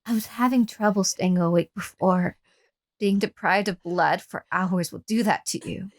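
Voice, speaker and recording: A woman's voice: medium pitch, normal speed, natural voice. A woman playing a wounded captive recounting her lover's abuse in a horror radio drama. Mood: somber, reflective. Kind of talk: first-person horror radio drama narration with acted dialogue.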